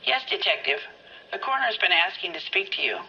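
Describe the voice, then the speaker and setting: A woman's voice: mid-range voice, lively intonation, fairly quick, lo-fi sound, crowd noise, lightly acted. An actress playing a polite young female police switchboard operator in a video game, courteous and formal.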